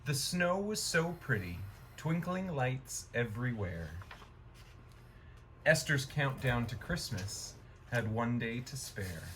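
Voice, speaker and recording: A man reading a rhyming children's story aloud in a gentle, expressive storytime manner. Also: medium pitch, slow, slight background noise, poor audio.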